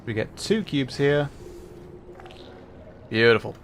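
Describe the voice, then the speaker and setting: A man's voice: mid-range voice, expressive, slow pace, fairly bright tone, slight background noise. A man streaming a puzzle game as a VTuber, giving chatty, self-deprecating commentary and laughing often. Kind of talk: casual gameplay commentary.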